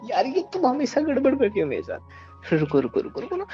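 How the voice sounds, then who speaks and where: A man's voice: very high-pitched, wildly swooping pitch, fairly quick. A man chatting in a playful, intimate role-play, teasing and coaxing as he goes. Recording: dull lo-fi call, music behind.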